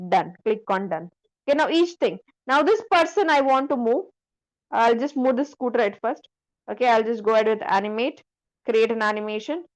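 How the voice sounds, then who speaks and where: A woman instructor talking through a screen-share software tutorial step by step, often checking that the listener follows. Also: mid-range voice, dull audio.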